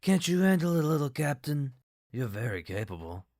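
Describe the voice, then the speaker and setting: A woman's voice: sits low, sing-song, moderate pace, warm tone, no room sound, studio quality, voice pushed down. A woman voicing a smug, patronizing male court chancellor as a mocking imitation in a fantasy audio drama. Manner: patronizing, wheedling.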